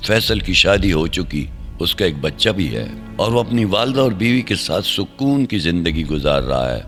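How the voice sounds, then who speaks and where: A man narrating a scripted radio drama as a measured, solemn storyteller. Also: sits low, very animated, a bit bright. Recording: faint music behind the voice.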